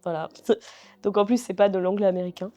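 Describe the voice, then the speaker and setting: A young woman guest talking casually in an interview, relaxed and hesitant with many fillers as she thinks aloud. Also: mid-range voice, normal speed, faint music behind. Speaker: singer-songwriter guest.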